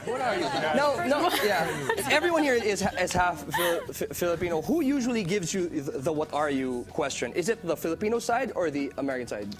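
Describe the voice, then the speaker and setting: Lively talk show host woman. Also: lowish voice, fairly quick, hardly any pauses, a crowd in the background. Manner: lively, prompting guests with questions.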